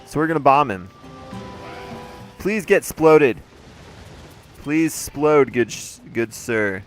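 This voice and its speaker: A man's voice: fairly high pitch, expressive, takes it slowly, soft background music. A man giving playful, chatty commentary on a video game he is playing, with a slightly congested voice. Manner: playful, chatty, jokey asides. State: congested, throat a little off. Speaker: let's play host. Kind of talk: casual gameplay commentary.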